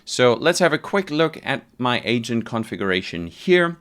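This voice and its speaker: A man presenting a casual software tutorial, explaining things step by step in an informal, conversational way. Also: medium pitch, big pitch swings, normal speed. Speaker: developer advocate presenting a tutorial.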